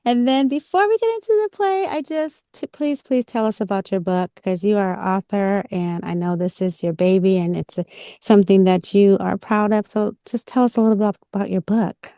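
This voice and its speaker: A woman co-hosts a relaxed conversation. Her voice is dark and mellow at a middle pitch, recorded very dry. She is affirming and effusive as she talks. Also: moderate pace, no echo. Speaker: interview show co-host. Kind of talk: casual interview hosting.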